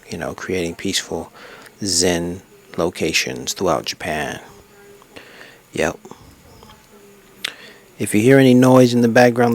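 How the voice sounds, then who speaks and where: A man narrating his own travel photos in a casual, unscripted way, rambling and reflective as he reminisces. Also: low-pitched. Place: untreated room at a desk.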